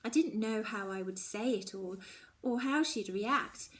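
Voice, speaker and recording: A woman's voice: a little high, a little slow, fairly bright tone. Woman reading a children's novel expressively. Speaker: storybook reader. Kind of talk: expressive first-person children's novel narration.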